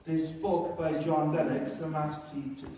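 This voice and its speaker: A man's voice: on the high side, fairly even intonation, a little slow, warm tone. A man from Germany, a teacher, leading a conference workshop in a self-deprecating, joking manner. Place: conference room. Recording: echoey room, distant mic, faint noise.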